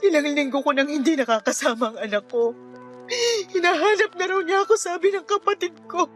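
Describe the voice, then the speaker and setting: Actress as a high-voiced despairing Filipino mother. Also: a little fast, close to an everyday voice. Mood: tearful, anguished. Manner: curt and sullen, breaking into tearful pleading. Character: despairing, sleepless young mother, withdrawn then pleading. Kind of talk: Filipino radio drama acting. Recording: music behind the voice.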